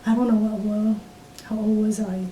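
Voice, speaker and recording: A woman in her fifties from Taiwan with a Taiwanese accent, speaking English as a second language and recalling her past in an oral history interview, halting with many fillers and restarts. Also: level tone, warm tone. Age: 50s. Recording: roomy, distant mic with faint rumble.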